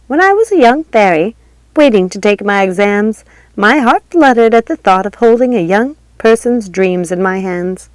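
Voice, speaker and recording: A woman's voice: medium pitch, darkish tone, no room sound, pitched above the performer's own voice, close to an everyday voice. A woman voicing a stately elderly fairy godmother who addresses students in a grand, formal way.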